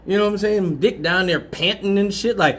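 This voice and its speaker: A man's voice: high-pitched, a little fast, a bit bright, a little hiss or hum. A man hosting a live advice show, talking bluntly and profanely, laughing often and repeating himself as he goes. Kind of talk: crude casual advice talk.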